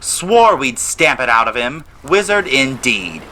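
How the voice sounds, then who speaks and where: A man voicing a stuffy, domineering middle-aged uncle in an audio drama, angry and forcefully indignant. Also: mid-range voice, big pitch swings, measured pace, a little hiss or hum, pitched above the performer's own voice.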